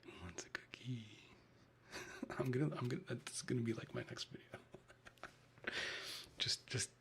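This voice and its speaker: A man with a Chicago Midwestern accent, whispering in a soft and chatty way during a live ASMR stream. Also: mid-range voice, a little slow.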